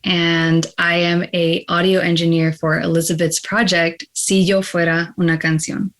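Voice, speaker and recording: A woman's voice: on the low side, little pitch movement, moderate pace, fairly bright tone. A woman in her mid-twenties introducing herself casually as a podcast team member.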